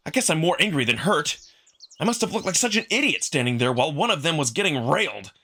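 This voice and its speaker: A man giving an expressive, dramatic first-person narration of a visual novel, acting out the narrator's feelings. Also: on the high side, quick pace. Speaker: narrator, amateur voice performer.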